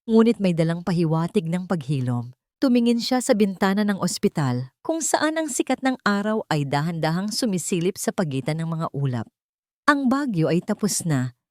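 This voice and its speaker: A woman's voice: lowish voice, a little mellow, no room sound, studio quality. A woman with a Filipino accent reading a scripted story as a dramatic audiobook narration.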